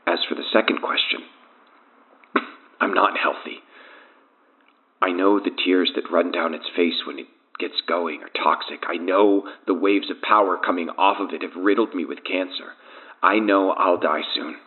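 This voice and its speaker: A man's voice: low-pitched, steady tone, moderate pace, lightly acted. An actor playing a doomed, guilt-ridden man enslaved by an evil power, confessing fatalistically in an audio horror drama. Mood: grim, resigned. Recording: tinny, band-limited phone line.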